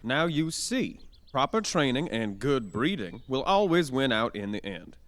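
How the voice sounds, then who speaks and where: Male actor as snobbish young male elf, pompous, bright cutting voice, swooping intonation, fantasy audio drama. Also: medium pitch, big pitch swings, a little slow, no echo. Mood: smug. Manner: pompous, haughty. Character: arrogant adolescent male elf, haughty and snobbish.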